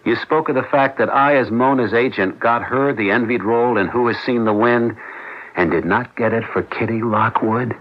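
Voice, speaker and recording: An actor playing a suspicious husband who questions his wife in a measured, accusatory manner, in the style of 1970s radio drama. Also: medium pitch, lightly acted. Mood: accusing, pressing. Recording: dull lo-fi 1970s radio broadcast.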